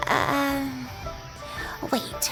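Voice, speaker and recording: A woman voicing a gentle, absent-minded granny in a video game, youthful-sounding, wistful and forgetful. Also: very slow, over music. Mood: confused. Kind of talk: video game character voice acting.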